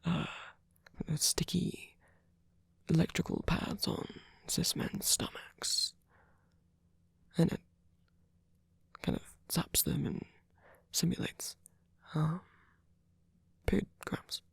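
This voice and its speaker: A man playing a sweet, gentle vampire boyfriend in an ASMR comfort roleplay, speaking haltingly in a breathy, husky whisper. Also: fairly high pitch, fairly even intonation, dry recording, heavily acted. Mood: gently musing.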